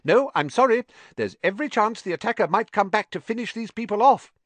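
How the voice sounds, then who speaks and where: A stern, brisk older nurse with a sharp, high, clipped voice that shuts out argument, as a male narrator puts her on. The delivery is lively, and the booth is very dry. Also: dry recording.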